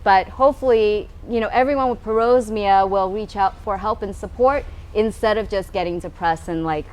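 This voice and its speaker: A woman's voice: medium pitch, moderate pace, low-quality recording. A woman in a casual conversation at a tasting, explaining earnestly with many fillers. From United States. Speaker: co-founder of a taste and smell group. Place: tasting room in a tea factory. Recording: faint room noise.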